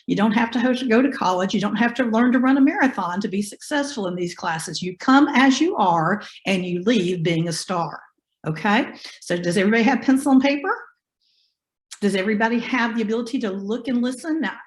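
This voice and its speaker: A woman with a hoarse voice from bronchitis, teaching a live online class over a video call in a folksy, encouraging way and asking her students questions. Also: fairly high pitch, reverberant. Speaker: online business instructor. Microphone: computer mic on a video call.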